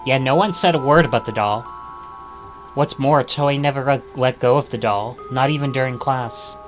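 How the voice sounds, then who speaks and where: A man's voice: medium pitch, normal speed, faint music behind. A man reading visual novel text aloud as a streamer, stumbling and correcting himself, with casual asides.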